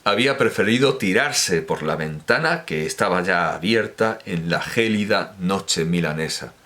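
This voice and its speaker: Deep-voiced man rambling about art. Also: fairly bright tone. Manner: rambling asides with frequent fillers and self-corrections. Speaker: host. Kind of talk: casual lecture on art history.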